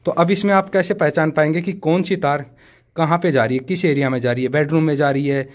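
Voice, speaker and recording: Male tutorial host, slightly high voice, methodical and instructive hands-on explainer. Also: fast talker. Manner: instructive, methodical, often addresses viewers as friends. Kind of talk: practical how-to explanation to camera.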